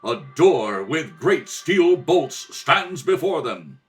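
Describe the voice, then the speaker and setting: A man's voice: fairly high pitch, very animated, takes it slowly, far from the mic, faint music behind. A man giving theatrical, dramatic narration in the style of an old-time radio adventure serial. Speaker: narrator, rehearsal reader. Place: kitchen table at home. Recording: home recording, slightly off the mic.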